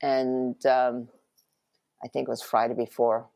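A middle-aged woman from Japan, an animation producer, recounting her career in a relaxed interview with frequent ums and restarts. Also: a bass voice, a little slow, gravelly, no echo, clean studio sound. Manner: reflective, many ums and restarts.

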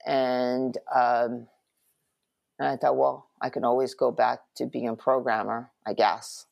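A middle-aged woman from Japan, an animation producer, recounting her career in a relaxed interview with frequent ums and restarts. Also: rumbling low, a little slow, vocal fry, no echo. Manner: reflective, many ums and restarts.

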